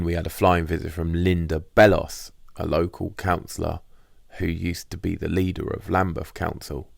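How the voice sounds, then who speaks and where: A man reads a diary as audiobook narration. His voice is very deep with a lot of fry in it. The sound is dry, with no room around it. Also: darkish tone, dry recording. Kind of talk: scripted first-person diary narration.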